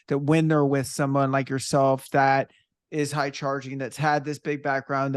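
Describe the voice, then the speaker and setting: A man's voice: a little high, clear, resonant tone, no room sound, clean studio sound. A male podcast host talking casually and thinking aloud, with fillers and repeated words. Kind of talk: podcast interview.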